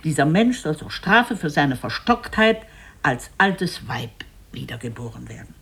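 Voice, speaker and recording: A wrathful lord of hell as a storyteller plays him in a storybook tale. The voice is husky and commanding, swinging widely in pitch as it hands down merciless judgement. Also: sing-song, a little slow, a bit bright, full character voice. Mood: stern sentencing. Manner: commanding, pitiless. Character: wrathful lord of hell passing cruel sentence.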